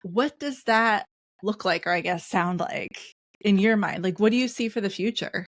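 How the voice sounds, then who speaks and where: A woman's voice: mid-range voice, moderate pace, dry recording, studio quality. An American woman hosting a podcast interview, talking casually and informally. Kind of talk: conversational interview.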